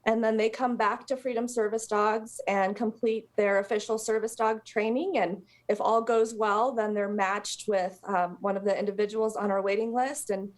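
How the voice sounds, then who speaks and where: A woman's voice: mid-range voice, fairly even intonation, normal speed, few pauses. An American woman speaking as a guest in a live television interview, explaining volunteer work in a conversational way for a charity. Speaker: interview guest, service dog charity representative.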